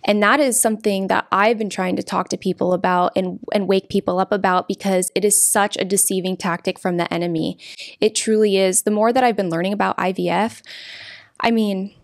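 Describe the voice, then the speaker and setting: Earnest woman with a gravelly edge. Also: medium pitch, steady tone, a little fast. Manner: earnest, emphatic and devout. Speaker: pro-life activist guest. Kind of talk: podcast interview. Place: studio with green curtains. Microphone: desk podcast mic on boom arm.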